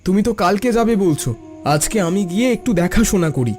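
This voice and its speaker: A man's voice: high voice, animated, quick pace, a little mellow, faint music behind. A man playing a helpful, earnest young neighbour in a cartoon, eager and reassuring. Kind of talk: Bengali cartoon voice acting.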